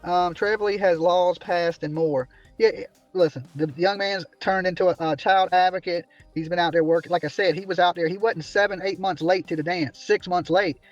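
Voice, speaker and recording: A man's voice: sits high, fairly even intonation, a little fast, hardly any pauses, no echo, dull audio, faint music behind. A man ranting indignantly on a livestream from a small room, repeatedly asking "right?" as he complains. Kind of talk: heated livestream commentary.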